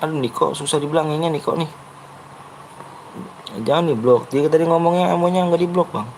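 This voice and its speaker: A man's voice: a little high, normal speed, darkish tone, a little hiss or hum, low-quality recording. A young man with a Betawi accent, live-streaming a game and chatting with his viewers in a blunt, brash way that he says sounds harsh, while he keeps urging them to like and subscribe. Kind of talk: live gaming stream chat.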